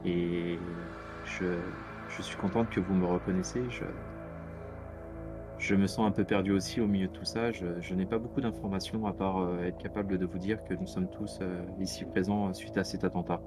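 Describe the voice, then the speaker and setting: Gentle young woman tending a patient, played by a man, very deep, flat voice. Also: normal speed, music in the background, lightly acted. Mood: reassuring. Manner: gentle, hesitant.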